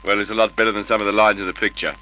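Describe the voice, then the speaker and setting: An actor playing a sensible, reproving assistant film director in an old radio drama. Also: male voice, on the low side, little pitch movement, racing, pushed and bright, rough recording. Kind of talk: 1950s radio drama acting. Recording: old radio recording, faint noise.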